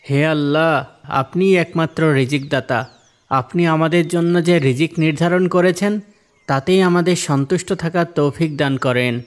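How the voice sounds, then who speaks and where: A man voicing a poor, patient, devout and generous husband in a moral-tale cartoon, speaking softly and humbly. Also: on the high side, moderate pace, close to an everyday voice.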